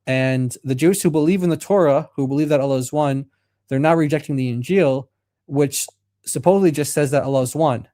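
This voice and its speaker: Easygoing mellow-voiced male co-host. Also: a little high, brisk, no echo. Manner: easygoing, thinking aloud. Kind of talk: casual call-in discussion.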